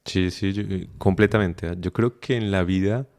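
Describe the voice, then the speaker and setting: Deep gravelly Colombian man, reflective. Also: normal speed, darkish tone. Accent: Colombian Spanish. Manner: reflective, thinks aloud with many fillers and restarts. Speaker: podcast guest, migrant. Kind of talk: conversational interview.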